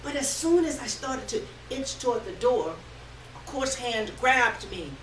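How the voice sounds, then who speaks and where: A woman giving an expressive, measured dramatic reading of a first-person story before a live room. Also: on the high side. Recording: distant roomy live recording, faint noise.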